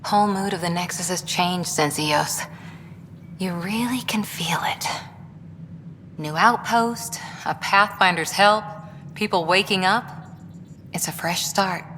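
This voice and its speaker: A woman's voice: on the low side, slow pace, long pauses, pushed and bright. A woman playing a frank, hopeful space station colleague in a video game, talking informally. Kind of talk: video game dialogue acting. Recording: reverberant hall with background noise.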